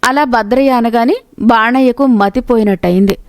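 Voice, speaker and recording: A woman narrates a moral tale from a script. Her voice sits a little high. The sound is dry, with very little room on it. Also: normal speed, no echo. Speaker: storyteller narrator.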